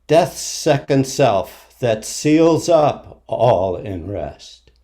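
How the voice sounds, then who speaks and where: A man recites classic verse very slowly and solemnly. His voice is dark and mellow at a medium pitch, with measured pauses between the phrases. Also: big pitch swings. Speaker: poetry reader at a congregation service.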